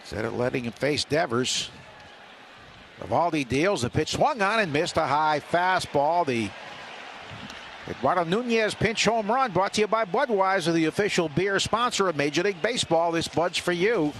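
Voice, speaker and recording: A man with a slightly high voice calls baseball live on the radio. He talks conversationally, pausing and hesitating between calls, over clear outdoor ballpark noise. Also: expressive, a bit bright. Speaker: radio baseball play-by-play announcer. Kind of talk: live play-by-play commentary. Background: outdoor ballpark ambience.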